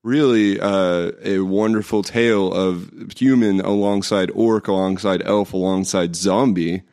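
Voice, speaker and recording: A hoarse man co-hosting a podcast, joking wryly in casual chat with stammered restarts. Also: deep voice, steady tone, unhurried, a little mellow. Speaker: podcast co-host.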